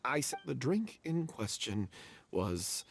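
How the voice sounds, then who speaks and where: A man playing a guilt-ridden, self-blaming theatre troupe member in a halting, confessional video game performance. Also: mid-range voice, sing-song, creaky, slight background noise, full character voice. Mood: reluctant, explaining. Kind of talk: dramatic video game voice acting.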